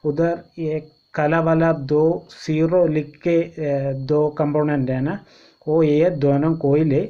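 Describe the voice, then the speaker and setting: Higher-pitched man, dull lo-fi sound. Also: level tone, slow pace. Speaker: phone repair instructor and channel host. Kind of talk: informal step-by-step repair tutorial. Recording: dull lo-fi home recording.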